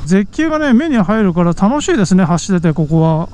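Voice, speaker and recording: A man's voice: high voice, brisk, a little hiss or hum. A man hosting a motorcycle vlog, narrating casually and exclaiming at the views while riding on a mountain road. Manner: excited, frequent exclamations at the scenery. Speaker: motovlog host. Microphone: helmet mic on a moving motorbike.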